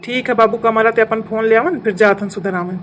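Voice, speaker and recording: महिला की आवाज़ में ऊँची आवाज़ वाला अवधी पति. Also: female voice, fairly high pitch, fairly even intonation, fairly quick, a little hiss or hum, close to an everyday voice. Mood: doting, eager. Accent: Awadhi. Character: doting, naive newly married young village husband. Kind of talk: Awadhi comedy cartoon dialogue.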